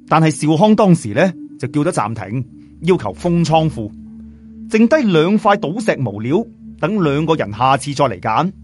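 A man with a Cantonese accent narrating a story as an audiobook storyteller, in an animated, conversational, explanatory way. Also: fairly high pitch, moderate pace, soft background music. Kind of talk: animated scripted narration with colloquial asides.

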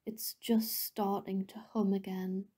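Female meditation guide: mellow, gentle, breathy at phrase ends, level intonation, long unhurried pauses. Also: mid-range voice, flat delivery.

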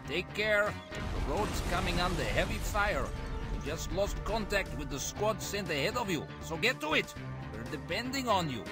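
An actor playing a stern, urgent army officer giving orders in scripted game dialogue. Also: male voice, high voice, big pitch swings, moderate pace, over loud music.

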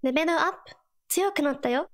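High-pitched, gentle, earnest girl. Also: brisk. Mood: supportive. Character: earnest, supportive teenage girl companion in a game. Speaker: game character voice actor. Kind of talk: scripted game character voice lines.

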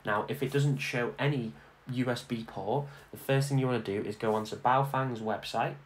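A man's voice: mid-range voice, fairly even intonation, normal speed, slight background noise. A man presenting a casual hands-on tech tutorial, explaining things in an informal, conversational way. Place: indoor room at a home desk.

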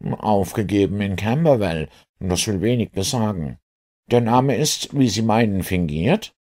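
A matter-of-fact, sceptical police inspector in an audiobook, played by a male reader. The voice is lifted above the narrator's and swings in pitch, with an insistent, questioning manner. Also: expressive, a little slow, a little mellow. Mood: puzzled, probing.

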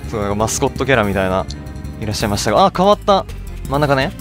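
A man's voice: medium pitch, sing-song, racing. A man giving casual video game commentary, talking to himself in short reactions as he plays. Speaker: let's play streamer. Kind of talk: casual game commentary, reading dialogue aloud. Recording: game music behind the voice. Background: game music.

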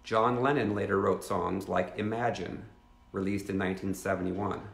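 A man's voice: sits low, fairly even intonation, normal speed, vocal fry, reverberant. Earnest fifties man reading a teaching. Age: 50s. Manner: earnest, reads steadily with asides to viewers. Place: living room at home.

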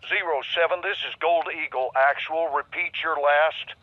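A middle-aged man playing an impatient, forceful American army general in a game cutscene, curt and commanding. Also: a little high, fairly even intonation, moderate pace, dull audio, lightly acted. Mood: urgent, commanding. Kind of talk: dramatic game cutscene acting.

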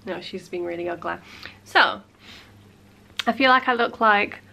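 A woman's voice: mid-range voice, measured pace, vocal fry, sharp tone. Chatty woman with a cold. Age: late 20s. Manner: chatty, frequent "like" fillers, playful asides. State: congested with a cold. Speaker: vlogger. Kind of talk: casual chat. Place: small cluttered home room.

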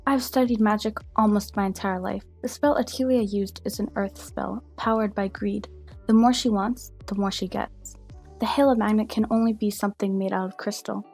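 A woman's voice: medium pitch, steady tone, moderate pace, a little mellow, slightly rough, soft background music. A woman playing a knowledgeable scholar of magic in an amateur fan film, explaining things with assurance. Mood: assured.

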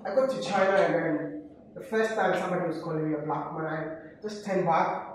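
A man tells his stories with animation and puts questions to the group. His voice sits high and sounds far from the mic in a very echoey room. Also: fairly quick, slight background noise. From Ghana. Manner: animated storyteller, quizzing others. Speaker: discussion guest. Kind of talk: group discussion. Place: living room, indoors.

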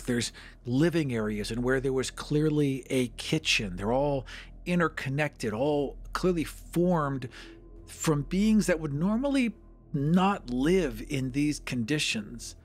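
A man with a medium-pitched voice talks as a podcast co-host and tabletop player. He banters playfully and improvises his story. Faint music sits behind him.

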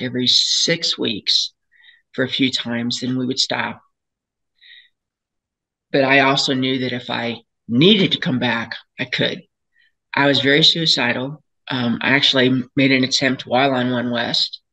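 An older woman, hoarse and raspy from a cold, candidly telling her own story as a patient on a video call, pausing and restarting as she talks. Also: extremely low pitch, bright, forceful tone, rough recording. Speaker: patient sharing her experience. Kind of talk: personal testimony at a panel. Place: home room, indoors, seated in a chair. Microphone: video call webcam.

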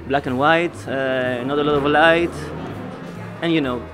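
A man's voice: fairly high pitch, fairly even intonation, a little slow, over music. A young man from Greece with a Greek accent, speaking in hesitant phrases as an artist being interviewed. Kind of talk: on-camera interview. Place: indoor art fair booth. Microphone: handheld interview mic held out by interviewer.

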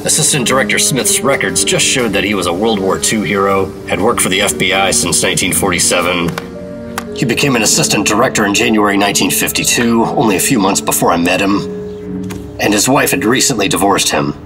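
An American man playing a firm, dutiful government operative in a 1950s radio drama, authoritative and measured. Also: on the low side, music in the background, lightly acted. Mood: matter-of-fact narrating. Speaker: lead actor and narrator.

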